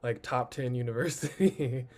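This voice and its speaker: Man co-host; casual and relaxed, friendly questions, heavy on fillers. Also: medium pitch, moderate pace, slight background noise. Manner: casual, relaxed, uses "like" a lot.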